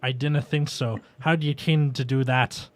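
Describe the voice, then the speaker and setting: A man voicing gruff, teasing teenage schoolboys from a wizard story in a put-on Scottish accent. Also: medium pitch, fairly even intonation, moderate pace, no echo, voice pushed up, natural voice. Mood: friendly, boastful.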